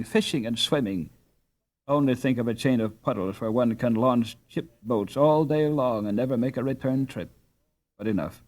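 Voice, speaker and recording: A man reading a classic novel aloud as an audiobook narrator, in a measured, expressive storytelling delivery. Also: medium pitch.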